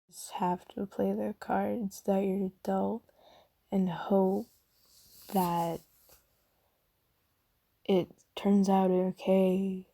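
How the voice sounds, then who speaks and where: Woman playing a tender female night demon, ASMR roleplay, very mellow and dark, husky breathy murmur, soothing, reassuring. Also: mid-range voice, flat delivery, exaggerated voice. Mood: soothing, understanding. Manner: slow, hushed, soothing and reassuring, often slipping into whispers. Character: gentle, caring female sleep paralysis demon comforting someone. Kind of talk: soft ASMR roleplay monologue.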